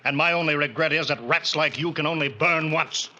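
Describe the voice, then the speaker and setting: A man's voice: a little high, fairly quick, natural voice. A man playing a grim, vengeful man confronting a killer in an old radio drama, cold and clipped. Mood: menacing. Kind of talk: 1940s radio acting. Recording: dull old radio broadcast recording.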